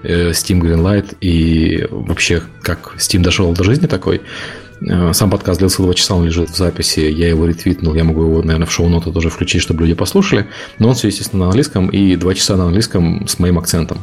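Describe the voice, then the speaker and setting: A man from Ukraine co-hosting a podcast, explaining his points in long, rapid run-on stretches of casual talk. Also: low voice, fairly even intonation, a little mellow. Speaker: podcast co-host.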